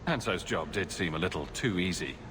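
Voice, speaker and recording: A pragmatic mercenary hero in a fantasy game. The voice is low, dry and direct, sure of itself. Clear game noise sits behind it. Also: male voice, lively intonation, fairly quick. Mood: dry, questioning. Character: self-assured male mercenary adventurer, pragmatic and dry. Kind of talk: fantasy game dialogue acting.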